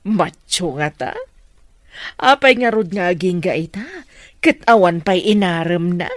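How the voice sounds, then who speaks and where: A woman's voice: fairly low pitch, lively intonation, measured pace, dry recording, studio quality. A woman with an Ilocano accent playing a frank, nosy middle-aged mother in a radio drama. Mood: skeptical.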